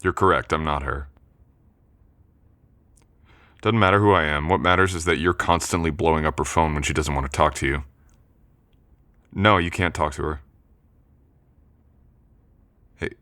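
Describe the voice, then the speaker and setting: A young man playing a confident, teasing and protective college jock in an intimate boyfriend audio roleplay, speaking in a gentle, conversational way. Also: extremely low pitch, normal speed, pauses often. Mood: firm, protective.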